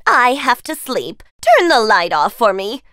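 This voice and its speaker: A woman's voice: a little high, sing-song, unhurried, pushed and bright, smooth, full character voice. A woman voicing a vain, spoiled, spiteful young stepsister in a children's cartoon, bratty and smug. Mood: demanding. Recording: music under the voice.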